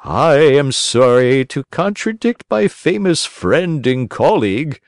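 A man's voice: medium pitch, very animated, unhurried, dry recording, studio quality, pitched above the performer's own voice. A man voicing a fussy, pedantic owl doctor.

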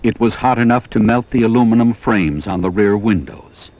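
A man reading scripted educational film narration in a measured, deliberate way. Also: on the low side, expressive, darkish tone, no room sound, poor audio.

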